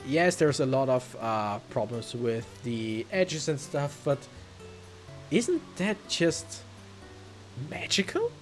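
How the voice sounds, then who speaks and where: A woman giving casual let's play commentary, thinking aloud in a halting, rambling way. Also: rumbling low, very animated, faint music behind. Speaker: let's player commentating a video game.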